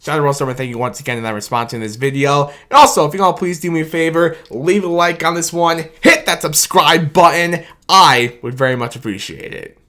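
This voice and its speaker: A man's voice: fairly high pitch, fairly quick. Hyped-up man cheering and laughing. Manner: hyped, laughing often, shouting "baby" and "man". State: losing his voice from excitement. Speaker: sports video host. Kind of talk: excited casual sports commentary vlog.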